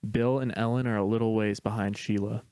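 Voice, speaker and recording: A man's voice: lowish voice, steady tone, a little fast, mellow, clear, resonant tone, no room sound. A man narrating a screenplay, reading the stage directions in a matter-of-fact way. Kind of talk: scripted narration.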